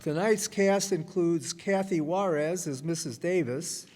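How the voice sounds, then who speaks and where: A man announcing in a measured, presentational way, reading formal credits from a stage. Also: a little high, a little mellow. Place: live stage in a hall. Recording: reverberant hall, audience noise and hiss.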